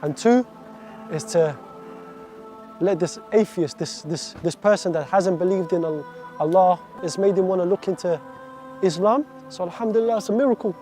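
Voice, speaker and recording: A man's voice: high voice, moderate pace, warm tone, faint music behind. A man in his twenties from Iraq, giving an earnest, heartfelt faith testimony full of devout phrases. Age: 20s.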